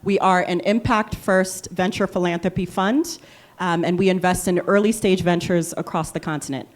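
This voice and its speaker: A woman with a Liberian accent moderating a panel discussion, briskly affirming and summarizing the speakers' answers. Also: on the low side, fairly even intonation.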